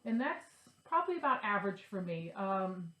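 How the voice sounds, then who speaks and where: A woman's voice: medium pitch, very animated, moderate pace, fairly bright tone. A woman with a stuffy, nasal voice from a cold, chatting casually to her viewers about her craft projects. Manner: chatty, upbeat, shows her stitching. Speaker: cross-stitch vlogger. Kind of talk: casual chat to camera. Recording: echoey room.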